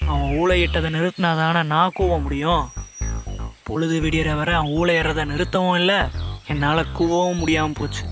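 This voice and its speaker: A man's voice: on the high side, fairly even intonation, moderate pace, lightly acted. A man voicing a bossy, suspicious cartoon rooster who commands and scolds his flock. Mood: explaining. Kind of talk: children's cartoon voice acting. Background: loud music.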